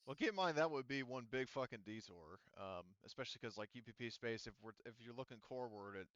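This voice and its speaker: A man's voice: mid-range voice, lively intonation, quick pace, fairly bright tone, no room sound, highs cut off. A man running a tabletop roleplaying game as game master, talking casually and thinking aloud in a rambling way.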